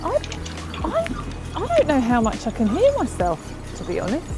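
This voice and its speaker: A woman's voice: high-pitched, wildly swooping pitch, normal speed. A woman chatting casually outdoors over an intercom headset while helping with a gear test. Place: outdoors on a towpath. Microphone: wireless intercom headset. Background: music.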